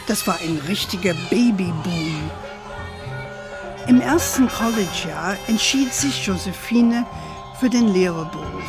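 A woman playing a fond older grandmother who tells stories at an unhurried pace in a children's radio play. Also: mid-range voice, natural voice. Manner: unhurried, fond, sprinkles English endearments.

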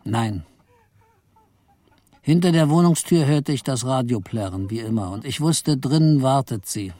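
An actor playing an embittered, henpecked office clerk in a radio play, brooding and theatrical as narrator and husband. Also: male voice, medium pitch, expressive, unhurried, mellow, no echo. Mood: bitter, brooding. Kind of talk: radio play, dramatic first-person narration and dialogue.

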